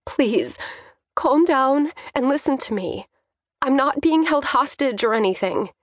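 Woman as distressed young daughter, audiobook dialogue, raised pitch, halting, pleading, strained, dry recording. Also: animated, no room sound. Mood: shaky, reassuring.

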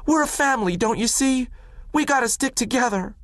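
A man reading aloud and voicing a determined, stubborn ten-year-old farm boy who pleads and shouts. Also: mid-range voice, animated, moderate pace, no echo, voice pushed up, close to an everyday voice. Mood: defiant shouting.

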